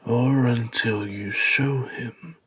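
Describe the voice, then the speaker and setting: A man playing a stern old warrior father and master in an audio drama, speaking in a solemn, measured way. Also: mid-range voice, fairly even intonation, a bit bright, breathy edge, exaggerated voice, poor audio. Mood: sorrowful, grave. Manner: solemn, measured, sage-like delivery.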